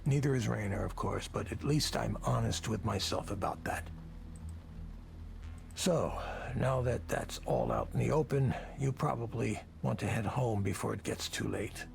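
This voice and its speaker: An actor playing a weary, drunk, self-loathing male tech executive in a video game, with measured, gravely earnest delivery. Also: on the low side, big pitch swings, slight background noise, full character voice. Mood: bleak confession. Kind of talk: visual novel voice acting.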